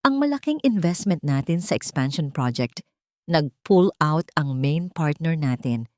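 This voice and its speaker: A woman's voice: deep voice, expressive, measured pace, mellow, dry recording, studio quality. A Filipino woman voicing a worried company finance chief in a story, apologetic and urgent.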